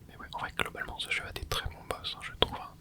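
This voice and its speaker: A man in his twenties, whispering softly as he comments on his gameplay for viewers. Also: fast talker. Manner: soft whispering, with excited asides to viewers. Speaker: gaming ASMR creator.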